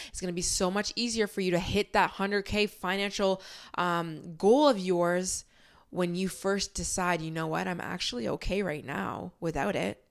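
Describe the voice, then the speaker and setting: A woman's voice: medium pitch, fairly bright tone, clean tone. A young woman in her twenties hosting a podcast, giving a candid, casual motivational pep talk full of rhetorical questions and the odd swear word. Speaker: podcast host.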